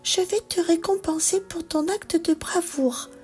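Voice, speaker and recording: A woman's voice: very high-pitched, unhurried, a little mellow, soft background music, pitched above the performer's own voice. A woman voicing a tiny, sweet fairy girl from a children's storybook, imploring and grateful.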